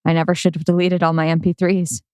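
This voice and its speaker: A woman's voice: lowish voice, level tone, quick pace, darkish tone, clear, resonant tone, dry recording, studio quality, close to an everyday voice. Woman acting a weary woman driver. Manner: weary, understated. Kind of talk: acted screenplay read-through.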